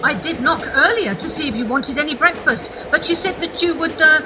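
A woman's voice: high voice, brisk, noisy background, lightly acted. A woman playing a courteous train conductor with a British accent in an amateur radio play. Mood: concerned, courteous.

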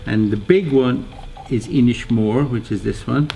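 A man's voice: mid-range voice, vocal fry, warm tone, faint music behind. An Irish man, an actor, cheerfully introducing himself to his cast in a rehearsal studio. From Ireland, near Cork. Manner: slow, unhurried and cheerful. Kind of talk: casual group introduction.

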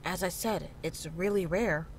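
A woman playing a calm, frank town healer in a horror visual novel, speaking in a measured, reassuring way. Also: lowish voice, poor audio. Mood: serious, confiding. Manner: measured, matter-of-fact, reassuring. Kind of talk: visual novel character dialogue. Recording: clearly audible background noise, ambience.

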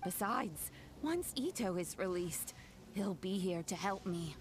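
Gentle, kindly old granny. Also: female voice, lowish voice, lively intonation, slight background noise. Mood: reassuring. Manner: gentle, measured, reassuring. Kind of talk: video game voice acting. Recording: game music behind.

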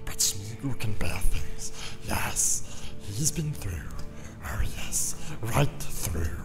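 Creepy fawning creature, slow hissy whisper, mutters to itself, audiobook w music under it. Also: male voice, fairly low pitch, expressive. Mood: resentful, wheedling. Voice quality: hissing, breathy whisper. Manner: repetitive, speaks of himself in third person. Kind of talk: dramatized fantasy audiobook.

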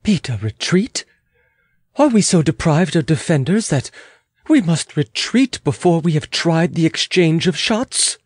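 A man's voice: fairly high pitch, very animated, unhurried, breathy edge, no echo, voice pushed up, heavily acted. A man voicing a proud, imperious and agitated queen in a dramatic audiobook, haughty and exclamatory. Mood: indignant, imperious. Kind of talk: dramatic audiobook character reading.

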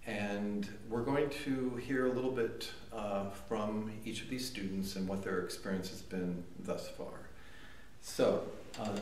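A middle-aged man hosting a casual interview in a conference room, relaxed and chatty with fillers. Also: fairly low pitch, slight background noise. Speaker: interviewer, content manager. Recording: echoey room, mic far off.

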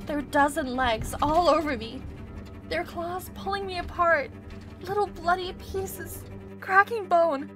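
Anxious, high-voiced female survivor played by a woman. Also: takes it slowly, music in the background. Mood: terrified. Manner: earnest, pleading, dramatic. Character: anxious, weary young female survivor, loyal but resentful. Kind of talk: audio drama acting.

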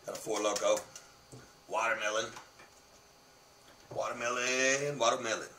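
A man's voice: mid-range voice, a little slow, a little hiss or hum. A man in his thirties vlogging casually from his living room, brash and swearing, his voice worn from losing it. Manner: brash, swearing, animated gestures. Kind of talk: casual profane vlog. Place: living room in a home, indoors. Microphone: webcam on desk. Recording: roomy webcam sound from a distance.

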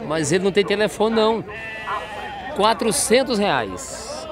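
Lively high-voiced man at a market. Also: a little slow. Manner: chatty, asks prices, repeats them to viewers. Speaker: market reporter host. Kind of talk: walking market vlog, interviewing sellers. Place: outdoor livestock fair. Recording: busy outdoor market crowd. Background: crowd chatter and animals.

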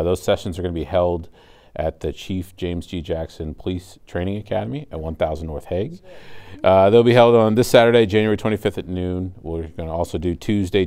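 A man's voice: low-pitched, dark tone. An American man in his thirties explaining his work as a supervisor in a studio interview. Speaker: 911 communications supervisor, interview guest. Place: indoor TV studio at a desk.

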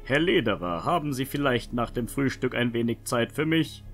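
A man's voice: mid-range voice, lively intonation, normal speed, fairly bright tone, faint music behind. A man acting a polite, composed young nobleman with formal, diplomatic speech in a fantasy audio drama. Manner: courteous, smooth-talking, formal. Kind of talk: fan-made German fantasy audio drama.

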